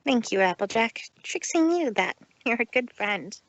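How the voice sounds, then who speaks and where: A woman's voice: fairly high pitch, expressive, fairly bright tone, no echo, muffled recording. A woman voicing a proud but uneasy mare who speaks formally and in the third person, in a fan fiction reading. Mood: grateful. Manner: formal, halting, refers to herself by name.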